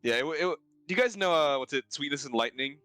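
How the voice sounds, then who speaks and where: Guy chatting on stream, bright voice, slangy n blunt, dry mic, some music under it. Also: fairly high pitch, lively intonation, fast talker, dry recording. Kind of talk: casual banter.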